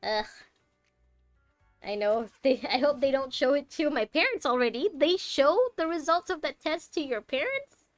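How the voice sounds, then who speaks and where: A woman's voice: high voice, animated, moderate pace, no echo, soft background music. A young woman from Malaysia chatting casually as she plays through a game, rambling and thinking aloud. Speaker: game streamer. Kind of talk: casual commentary while playing a game.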